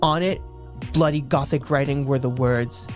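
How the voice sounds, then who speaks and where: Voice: mid-range voice, fairly even intonation, normal speed, soft background music. A livestream host doing a playful, mock-dramatic reading of fan fiction, breaking off for casual asides.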